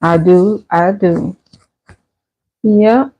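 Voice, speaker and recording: A woman's voice: lowish voice, extremely slow, dark tone, lo-fi sound. A woman, casually chatting on her channel's live stream and answering viewers' comments. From Chicago area. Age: 50s. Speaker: channel host.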